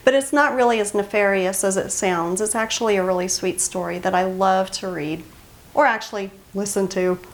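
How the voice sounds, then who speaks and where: A woman's voice: medium pitch, fairly even intonation, normal speed. A woman hosting a book review channel, talking in a chatty, enthusiastic and emphatic way straight to her viewers. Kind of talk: casual scripted book recommendation to camera.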